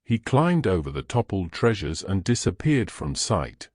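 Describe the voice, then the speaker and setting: Male narrator, story fiction, lowish pitch, lively intonation. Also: unhurried, background noise. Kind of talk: scripted audio fiction narration.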